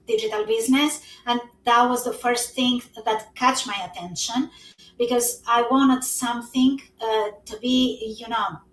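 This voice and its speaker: A woman's voice: on the high side, fairly even intonation, a little slow, fairly bright tone. A woman, an English speaker who talks earnestly with many fillers as an interview guest. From Albania. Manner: earnest, non-native English with frequent fillers. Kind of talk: casual conversation. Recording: echoey room, somewhat far from the mic.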